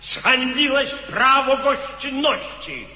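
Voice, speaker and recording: Radio drama: unrepentant commander, solemn, slow and very forceful, echoing hall. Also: male voice, very high voice, lively intonation, heavily acted. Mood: accusing. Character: stern, unrepentant military commander of the chancellor. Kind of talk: theatrical radio drama acting. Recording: echoey hall with long reverb.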